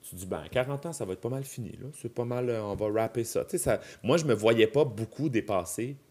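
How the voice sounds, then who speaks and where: A man's voice: mid-range voice, fast talker, a little mellow. Chatty Québécois man in his thirties. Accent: Québécois French. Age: 30s. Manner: chatty, explains with examples and asides. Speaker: podcast guest, drag performer. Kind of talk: casual chat.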